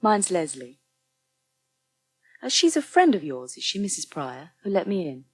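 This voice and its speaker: An actress playing a calm crisis volunteer in a radio soap, asking gentle, matter-of-fact questions in a husky voice. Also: female voice, on the low side, moderate pace. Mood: tactful, probing. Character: calm female crisis-centre volunteer, tactful and probing. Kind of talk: British radio soap acting.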